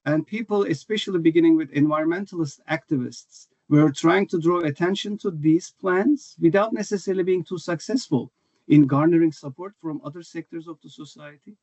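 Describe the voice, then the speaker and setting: Guy, kinda high voice, lots of uhs, dry muffled call audio. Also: a little fast, a little mellow, dry recording. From Turkey. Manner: thoughtful, many fillers and restarts. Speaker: author and scholar, guest. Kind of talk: academic interview answer.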